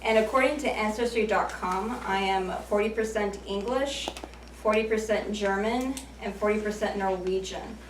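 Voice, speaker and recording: A woman's voice: medium pitch, normal speed, background noise. Young woman in her twenties giving a jokey self-deprecating club speech, roomy echo, mic far off. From United States. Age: 20s. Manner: self-deprecating, light joking asides. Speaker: icebreaker speaker at a speaking club. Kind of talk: prepared humorous speech. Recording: echoey room from far off.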